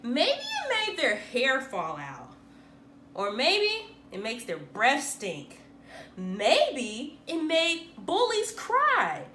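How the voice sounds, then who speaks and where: Female storybook reader, bright and very expressive, unhurried read-aloud for kids, recorded in a roomy space. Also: sits high. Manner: animated, dramatic emphasis for young listeners. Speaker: teacher reading aloud. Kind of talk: expressive children's picture book read-aloud. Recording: roomy echo, faint background noise.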